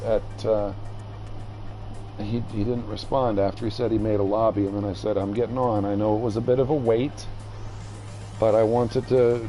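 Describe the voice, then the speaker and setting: A man streaming a video game with casual, rambling commentary, haltingly thinking aloud as he reads the menus. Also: fairly low pitch, dark tone, rough recording.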